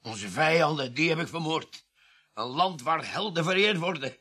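An actor in a radio play voicing a cheeky, self-pitying vagrant war veteran suspect with a gravelly, husky voice. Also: male voice, fairly high pitch, expressive, moderate pace, bright, forceful tone, exaggerated voice. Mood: bitter, proud.

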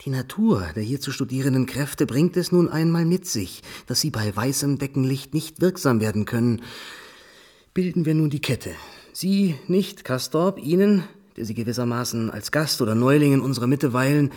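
Guy acting a husky theatrical doctor running a seance, pompous and formal, radio play. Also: mid-range voice, expressive, normal speed, darkish tone, close to an everyday voice. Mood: solemn, instructing. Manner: solemn, formal, pompous oratory. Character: commanding, theatrical male doctor leading a séance.

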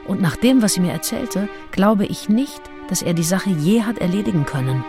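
A woman's voice: mid-range voice, expressive, moderate pace, music in the background, lightly acted. An actress playing a self-assured, seductive nineteenth-century woman diarist, frank and intimate, in a radio play. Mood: intimate, puzzled. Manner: frank, matter-of-fact, intimate. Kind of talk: radio play, first-person diary reading.

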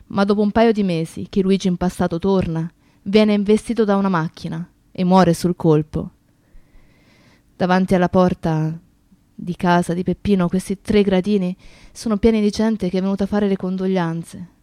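An actress playing a reflective, grieving woman who narrates memories of a friend in a radio play, in a measured storytelling manner. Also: mid-range voice, darkish tone, no room sound, close to an everyday voice. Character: reflective, grieving woman recalling her activist friend and his town.

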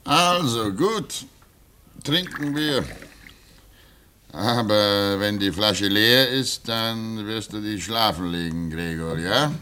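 Fatherly polar veteran, low-pitched, unhurried, gentle, cautious, measured radio-play delivery. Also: clear, resonant tone. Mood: hesitant, gentle. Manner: measured, gentle, deliberate, soothing. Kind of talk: German radio drama acting.

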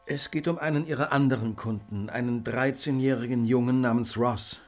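A man acting in a radio drama as a brilliant, brooding detective, husky, intense and commanding, with clipped deductions. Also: medium pitch, steady tone, normal speed, darkish tone, soft background music, close to an everyday voice. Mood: cool, businesslike. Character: brilliant brooding detective, driven by guilt and fierce resolve.

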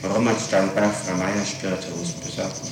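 A man's voice: deep voice, level tone, moderate pace. A man questioning a witness as a police interrogator, formal, probing and pedantic, recorded on an old 1960s tape. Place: reverberant room, far from microphone. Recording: noisy, echoing 1960s interrogation tape, distant.

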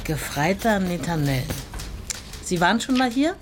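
A brisk office clerk in a radio play. Her voice is fairly low, official and procedural, cutting in and repeating details. Faint room sound runs behind her. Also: big pitch swings. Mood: busy, official. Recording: faint ambient background noise.

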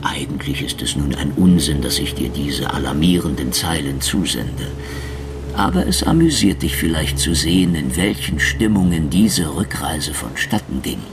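An actor playing a composed court gentleman, reading his letter home in a measured, intimate way. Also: a bass voice, lively intonation, vocal fry, over music, heavily acted. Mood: reflective. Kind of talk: radio drama letter reading.

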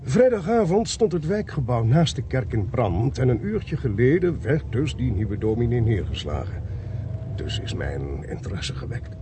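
An actor playing a sly, self-assured investigator in a Dutch radio play, wry and creaky-voiced, with a Netherlands Dutch accent. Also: male voice, medium pitch, big pitch swings, normal speed, noisy background, natural voice. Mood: brisk, self-assured. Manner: wry, sarcastic.

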